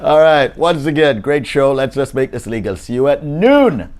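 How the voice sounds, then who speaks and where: A man's voice: mid-range voice, moderate pace, slight background noise. A man with a Jamaican accent co-hosting a radio show, joking and effusive in casual banter. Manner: animated, jokey and effusive. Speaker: radio co-host.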